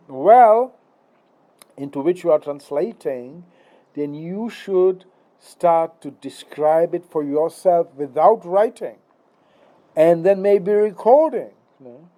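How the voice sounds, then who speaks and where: Czech guy in his 60s musing about philosophy, lots of uhs and "no?", a bit high-pitched. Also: warm tone. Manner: halting, many fillers and restarts, tag questions. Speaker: philosophical speaker. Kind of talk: rambling philosophical monologue.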